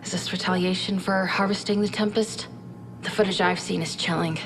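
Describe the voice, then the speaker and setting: A woman's voice: lowish voice, fairly even intonation, brisk, vocal fry, music in the background. A woman playing an earnest, candid scientist who briefs a military commander in a video game cutscene, speaking in a thoughtful, conversational way. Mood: troubled. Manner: conversational, thoughtful, with reflective asides. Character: earnest female scientist advisor, candid, inquisitive and troubled.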